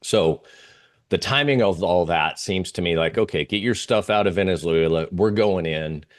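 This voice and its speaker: A man giving unscripted analysis as an interview guest, talking through slides in a halting, rambling way with pauses and repeated phrases. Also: low-pitched. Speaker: interview guest, geopolitical oil trading analyst.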